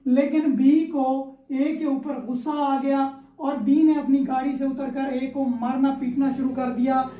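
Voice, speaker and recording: A woman's voice: high-pitched, dark tone. A woman with a Pakistani accent giving an informal explanatory law lecture, teacherly, repeating her points and checking in often. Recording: echoey, roomy sound.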